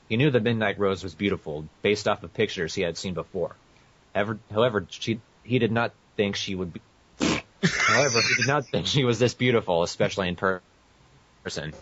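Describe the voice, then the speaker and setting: A very low-pitched man reads fan fiction aloud in a casual podcast style, stumbling over words and correcting himself. The recording is dull and lo-fi. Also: fairly bright tone, slightly rough. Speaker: podcast co-host. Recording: muffled, echoey lo-fi podcast audio.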